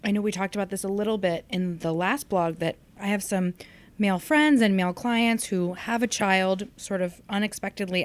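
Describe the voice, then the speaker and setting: A woman hosting a conversational podcast, reflective and exploratory as she puts questions to others. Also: medium pitch. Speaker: podcast host.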